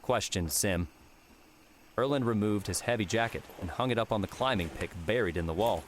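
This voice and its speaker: Male audiobook narrator, low register, scripted adventure prose, noticeable ambient noise behind. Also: brisk.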